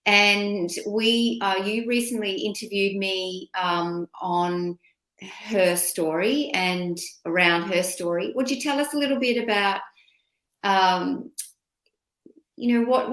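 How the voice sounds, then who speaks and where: A woman host asks her guest questions in an encouraging, searching way, often restarting her sentences. Her voice sits at a middle pitch and carries a noticeable echo from the room. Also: measured pace, a bit bright. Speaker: interview host. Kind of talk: hosting a casual interview. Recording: roomy, echoey room sound.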